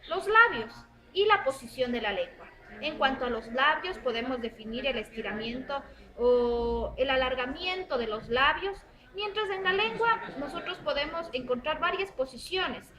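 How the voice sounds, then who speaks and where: A woman presents a class lesson in a high, sing-song voice that rises and falls a great deal. She reads in lists, leaning on each item, with crowd chatter clearly heard behind her. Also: low-quality recording. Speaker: student presenter.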